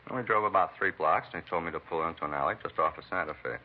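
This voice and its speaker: A man's voice: deep voice, racing, close to an everyday voice. An actor playing a beaten, talkative American truck driver in a vintage radio drama, rambling and folksy in plain working-man talk. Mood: earnest recounting. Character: beaten truck driver, talkative, folksy, eccentric self-styled bump-reader. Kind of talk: 1940s-50s radio acting. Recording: muffled vintage radio recording, faint hiss.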